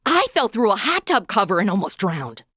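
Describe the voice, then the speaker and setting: A woman's voice: mid-range voice, big pitch swings, moderate pace, pushed and bright, breathy edge, no echo, full character voice. A woman playing a flippant, chatty, clueless apprentice angel in an audio comedy, talking in casual slang. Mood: breezy, self-absorbed.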